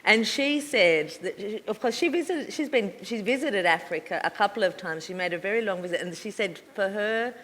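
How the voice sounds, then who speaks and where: A woman on a panel, speaking thoughtfully with frequent pauses and fillers. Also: medium pitch, expressive, fairly bright tone. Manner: thoughtful, hesitant with many fillers. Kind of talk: panel discussion. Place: university lecture hall. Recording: reverberant lecture hall.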